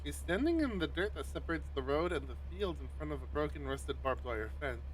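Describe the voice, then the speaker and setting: A man livestreaming a visual novel, mixing expressive, sweary casual chat with reading the narration aloud. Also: on the high side, a little fast, a little hiss or hum, rough recording.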